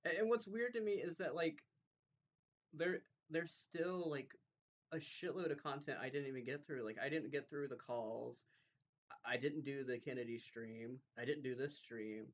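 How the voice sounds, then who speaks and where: A man's voice: mid-range voice, moderate pace, highs cut off. A man streaming, talking casually as if to a chat audience.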